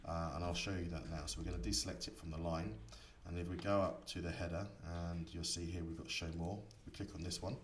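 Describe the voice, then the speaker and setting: A man giving a software tutorial, explaining each setting step by step in an instructor's manner. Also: low voice, little pitch movement, quick pace, hardly any pauses. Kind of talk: screen-recorded tutorial explanation. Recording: echoey room, distant mic, faint noise.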